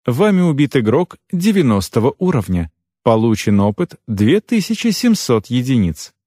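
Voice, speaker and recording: A man voicing an impersonal game system that makes formal, measured announcements in a fantasy audiobook. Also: mid-range voice, big pitch swings, mellow, slightly rough, no echo, studio quality. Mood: neutral, formal.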